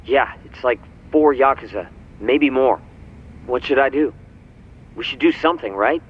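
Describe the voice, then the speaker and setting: A man's voice: medium pitch, normal speed, husky. A man playing a casual, slightly nervous young informant in a video game cutscene, heard through a phone, chatty and hesitant. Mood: anxious urgency. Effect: phone call filter. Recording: dull phone call over faint music.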